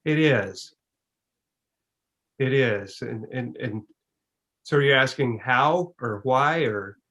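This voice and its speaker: A man's voice: mid-range voice, takes it slowly, fairly bright tone. A man speaking as a guest in an online presentation and Q and A, explaining in an emphatic, folksy way. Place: online video call.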